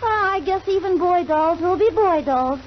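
Tiny squeaky high rag doll girl, sweet n plaintive, old 40s kids radio, dull sound. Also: animated, moderate pace. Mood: eager, fretful. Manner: exclamatory, plaintive, gushing. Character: sweet, childlike, lovable rag doll girl, easily upset. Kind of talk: 1940s children's radio acting. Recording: muffled, noisy 1940s radio recording.

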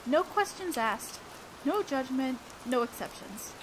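A woman playing an awkward, earnest teenage girl student council president in a romantic audio roleplay, halting and bashful as she talks. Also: sits high, animated, background noise. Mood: earnest, tender. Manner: halting, bashful, breaking off mid-sentence. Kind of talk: romantic audio roleplay acting, one-sided dialogue. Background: rain sounds.

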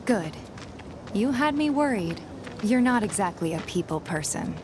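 A woman with an American accent playing a kind, caring young barkeeper in a video game, gentle and a little hesitant. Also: mid-range voice, animated, a little slow, background noise, natural voice. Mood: concerned, caring. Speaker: game character actor.

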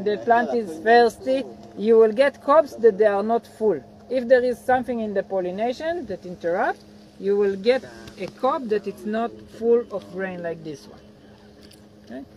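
Guy in his 40s giving a farm tour outside, patient, slow explaining, bit of outdoor noise. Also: sits high, lo-fi sound. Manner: explains patiently in non-native English. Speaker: agronomist guiding a farm tour. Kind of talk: outdoor field lecture. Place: outdoors by a cornfield.

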